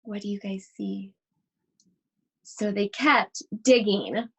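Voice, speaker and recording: A woman teacher reading a picture book aloud to children, expressive and speaking directly to her young listeners. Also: medium pitch, slow, creaky, slightly rough. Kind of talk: children's read-aloud with chatty asides.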